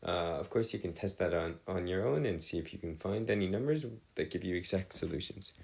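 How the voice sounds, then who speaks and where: A man's voice: sits low, brisk, gravelly, a little mellow, breathy edge. A man giving a maths lesson as a tutor, working aloud in a hesitant, self-checking way with formal, slightly old-fashioned phrasing.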